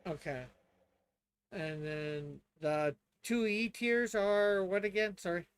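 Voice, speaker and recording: A man's voice: sits high, slow, clean tone, no room sound. A man playing a tabletop game, chatting casually and thinking aloud in a hesitant, tentative way.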